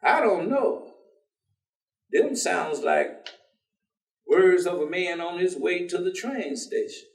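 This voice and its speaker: A man's voice: high voice, very animated, slow pace, creaky, long pauses, heavily acted. An actor playing an earnest, persistent, folksy working man in a staged dramatic reading, speaking colloquially. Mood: grave, probing. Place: theatre stage. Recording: echoey theatre, distant microphone.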